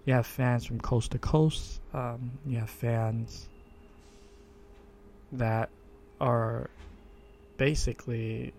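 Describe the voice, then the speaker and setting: A man hosting his own podcast, introducing himself in a low monotone voice and stopping often with fillers and restarts. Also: extremely slow, gravelly, pauses often, slight background noise. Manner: hesitant, many fillers and restarts. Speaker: podcast host. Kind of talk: casual self-introduction monologue. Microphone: desktop USB microphone.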